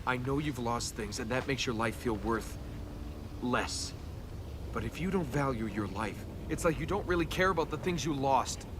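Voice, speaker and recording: An actor playing a sarcastic, roguish young adventurer and reluctant hero in a video game, with a wry, flippant, casual delivery. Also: male voice, medium pitch, expressive, moderate pace, lightly acted. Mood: earnest. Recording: noisy game capture, loud effects behind.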